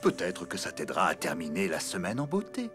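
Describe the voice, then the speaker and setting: A man voicing a kind, patient elephant father in a French cartoon, with a gentle, coaxing manner. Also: low-pitched, wildly swooping pitch, a little fast, soft background music, heavily acted. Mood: patient, encouraging.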